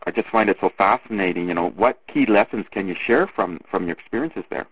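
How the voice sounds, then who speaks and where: Deep-voiced male interview host. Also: a little fast, a bit bright, no echo, recorded from a distance, low-quality recording. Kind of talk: podcast interview.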